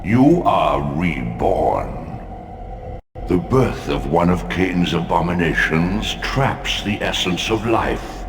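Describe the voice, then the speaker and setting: Game deity, male: ancient, commanding, portentous, very slow and gravel-throated, echoing over music. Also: expressive, exaggerated voice. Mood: solemn, ominous. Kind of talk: dramatic video game voice acting. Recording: booming cavernous reverb, music behind.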